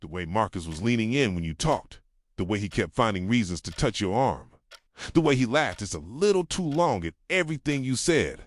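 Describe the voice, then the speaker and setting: A man playing a jealous, possessive yandere boyfriend in an intimate ASMR roleplay, speaking softly and tenderly and slipping into whispers. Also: animated, brisk. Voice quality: soft, low, slipping into whispers. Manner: tender, brooding, confessional.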